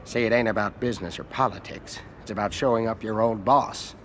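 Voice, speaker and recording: An actor playing an arrogant, greedy middle-aged American senator in a video game, with a folksy, condescending manner. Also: male voice, on the low side, fairly even intonation, a little fast, a little hiss or hum, close to an everyday voice. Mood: smug, probing. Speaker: senator character in a crime game. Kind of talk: dramatic video game cutscene acting.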